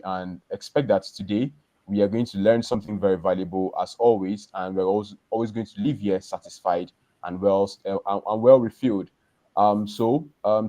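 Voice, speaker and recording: A male podcast host with a low, mellow voice. He talks in a rambling, loose way, often restarting and repeating words. The sound is close and dry. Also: dry recording. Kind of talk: live podcast hosting.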